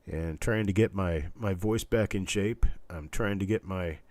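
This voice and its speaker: A man hosting a casual live online auction stream, chatting in a rambling, halting, folksy way with a scratchy, stuffed-up voice weakened by illness. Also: deep voice, a little mellow, no room sound. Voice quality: congested, stuffed-up nose. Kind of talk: casual livestream chat with viewers.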